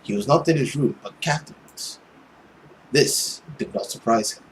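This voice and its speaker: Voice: medium pitch, moderate pace, a little hiss or hum, low-quality recording. A video host reading a visual novel aloud as a theatrical narrator, with casual asides.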